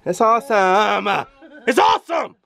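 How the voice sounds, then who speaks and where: A woman's voice: on the high side, sing-song, a little slow, gravelly, a bit bright. A woman vlogger chatting casually and joking on a tour of a tiny wooden house, filmed on a handheld camera. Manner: laughing often, joking, loose casual talk. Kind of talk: casual family vlog chat.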